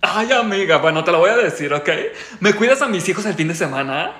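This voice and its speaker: A gushing, giggly woman friend with a Mexican accent begs sweetly for a big favor, as a woman puts her on in a lowered voice. The voice sounds bright and forceful, caught in a roomy space a little off the mic. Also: lively intonation, brisk. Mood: pleading.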